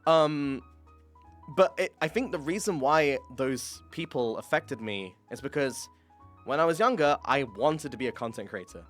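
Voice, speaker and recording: A young man streamer chatting candidly with a guest, cursing casually and running himself down. His voice rises and falls a lot even though he calls it monotone, and it has a slight wear from years of screaming. Faint music plays underneath. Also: medium pitch, normal speed. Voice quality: says his voice is monotone, tries to liven it. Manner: candid, swears often, self-deprecating. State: throat worn from years of screaming. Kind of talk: casual podcast chat.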